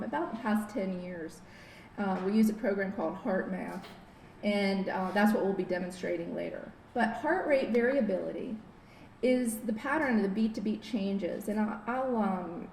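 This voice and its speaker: A middle-aged woman who works as a biofeedback therapist, giving a friendly, informal wellness talk with slides at a podium microphone in a conference room, with many fillers and restarts. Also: darkish tone. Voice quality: says she has a very low voice. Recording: roomy hall, faint noise.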